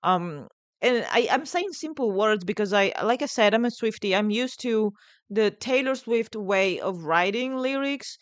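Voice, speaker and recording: A woman with an Italian accent hosts a music reaction in a clean, smooth voice. She speaks casually and with enthusiasm, repeating words as she works out her thoughts. The sound is dry and close. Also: mid-range voice, animated, no room sound. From Italy. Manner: enthusiastic, halting, repeats words while thinking. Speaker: music reaction video host.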